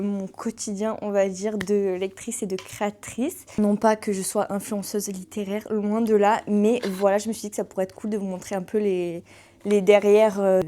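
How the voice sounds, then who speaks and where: Young woman book vlogger, chatty, lots of little hesitations, soft mellow tone. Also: mid-range voice. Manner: chatty, fills pauses with hesitations. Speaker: book content creator. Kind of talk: casual vlog chat.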